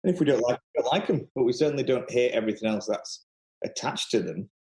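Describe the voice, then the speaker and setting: The voice is mellow but very animated, rising and falling a lot as it drives points home in casual film talk. The recording has a noticeable room echo. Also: mid-range voice, quick pace.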